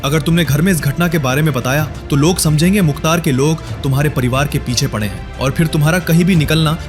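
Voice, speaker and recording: A man's voice: a little high, quick pace, music in the background, lightly acted. A man playing a mysterious beggar who speaks firmly and seriously in a Hindi audio drama. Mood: firm, secretive. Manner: firm, serious.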